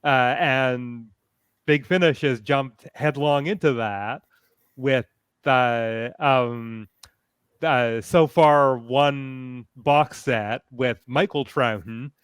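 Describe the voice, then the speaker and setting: Clear, smooth-voiced man from Canada. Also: medium pitch, drawn-out words, no room sound, clean studio sound. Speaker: podcaster, panel guest. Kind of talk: casual expert fan discussion.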